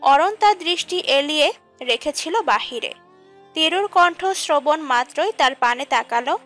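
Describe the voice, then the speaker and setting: A female narrator tells a romantic story from a script. Her voice sits high for a woman. Music plays underneath the narration. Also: animated, a little slow, a bit bright. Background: background music.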